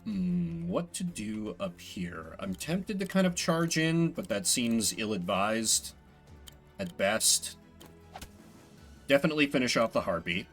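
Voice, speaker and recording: Man, game streamer, bright forceful voice, musing aloud, lively pitch, thinking through moves. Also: a little slow, soft background music. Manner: musing, talks himself through decisions. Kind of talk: live strategy game commentary, thinking aloud.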